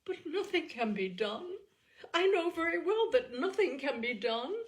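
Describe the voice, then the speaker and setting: A woman voicing an agitated young gentlewoman from a classic novel, breathless and near tears, with anguished emphasis in an audiobook reading. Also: high voice, big pitch swings, a little slow, pitched above the performer's own voice. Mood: anguished, hopeless. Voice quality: breathless, breaking voice.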